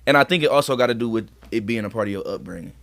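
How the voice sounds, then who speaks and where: A man's voice: on the low side, rapid-fire, vocal fry, fairly bright tone, dry recording. An American man co-hosting a podcast, debating sports casually in an emphatic, slangy, opinionated way. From Akron, Ohio, United States. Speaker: podcast co-host.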